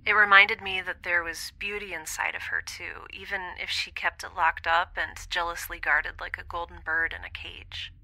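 Actress as lonely young woman in space, very crisp bright tone, lowish pitch, wistful, hesitant, near-whispered audio diary drama. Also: fairly even intonation, moderate pace, hardly any pauses, low-quality recording. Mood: reflective, bittersweet. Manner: hesitant, introspective, often dropping toward a whisper. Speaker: podcast audio drama lead. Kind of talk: intimate audio diary monologue.

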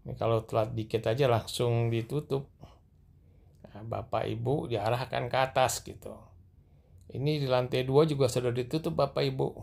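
Man, middle-aged, gravelly voice, flat delivery, walking tour narration, gentle, filmed on the move. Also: mid-range voice, normal speed. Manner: gentle, repeatedly addressing viewers as sir and madam. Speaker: vlogger guiding viewers. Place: large mosque, indoor escalators then open rooftop at night.

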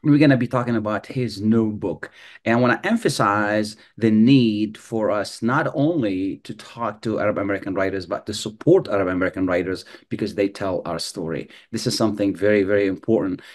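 A man's voice: on the low side, a little fast. Conversational middle-aged male radio host. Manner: conversational, with frequent restarts. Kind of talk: casual radio interview. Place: studio with video backdrop.

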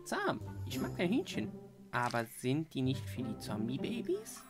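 A woman voicing a naive, friendly, childlike creeper monster in a cartoon fandub, drawn-out, sing-song and excitable. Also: medium pitch, loud music behind the voice. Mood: excited, friendly.

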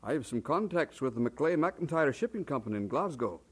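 An actor playing a kindly, helpful older brother with a husky voice in an old-time radio drama. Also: male voice, medium pitch, sing-song, a little fast. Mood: warm, helpful.

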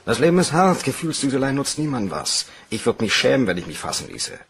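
A man's voice: mid-range voice, very animated, fast, vocal fry, fairly bright tone, slight background noise. An actor playing a self-assured, calculating embezzler persuading his lover in a crime radio play. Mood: cool, reassuring. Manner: confident, persuasive.

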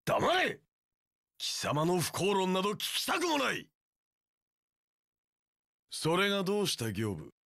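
An actor playing a vengeful, fanatically loyal young samurai warlord in an action game, with a harsh rasp and a theatrical delivery that bursts into screams. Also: male voice, high voice, wildly swooping pitch, a little slow, pauses often, fairly bright tone, no room sound, studio quality, exaggerated voice. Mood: furious. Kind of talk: anime-style action game voice acting.

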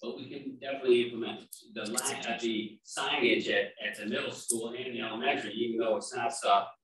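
A man's voice: mid-range voice, fairly even intonation, brisk, a loud crowd. A man answering a question at a school board meeting, casual and explanatory, picked up from a distance. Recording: echoey room audio from far off.

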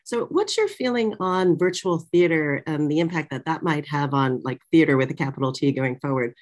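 A woman's voice: low-pitched, lively intonation, moderate pace, darkish tone. A middle-aged woman hosting a conversational interview over a video call from a small office. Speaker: interviewer, moderator.

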